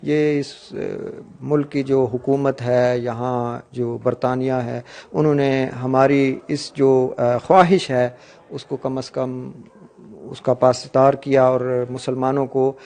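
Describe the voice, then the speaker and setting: A man interviewed for the news, giving a formal statement in halting, measured phrases. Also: mid-range voice, flat delivery, gravelly, warm tone. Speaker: community interviewee.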